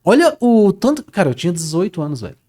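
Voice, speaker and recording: Male guest, casual animated storyteller, repeats himself, lots of fillers. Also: high voice, fast. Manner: animated, repeats words, says "cara" often. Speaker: guest, Portuguese teacher.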